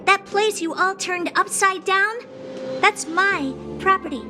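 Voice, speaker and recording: A woman's voice: very high voice, a little slow, pushed and bright, heavily acted. A woman playing a haughty, doll-like genius scientist in a video game, condescending and blunt. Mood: indignant. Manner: condescending, smug, blunt, bored drawl turning to keen curiosity. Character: haughty, doll-like female genius scientist who owns a space station. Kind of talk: video game cutscene acting. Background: music.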